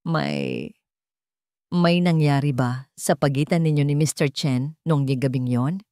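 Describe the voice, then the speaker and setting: Woman plays anguished Filipino husband in audio drama: low, grave, hesitant, very dry recording. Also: lively intonation, unhurried, mellow, no room sound. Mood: hesitant, pained.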